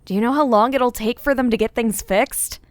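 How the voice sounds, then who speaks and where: A woman's voice: on the high side, normal speed, no echo, lightly acted. Weary, irritated actress as a young woman, dry recording. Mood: questioning. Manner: muttering, exasperated. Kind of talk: audio drama dialogue.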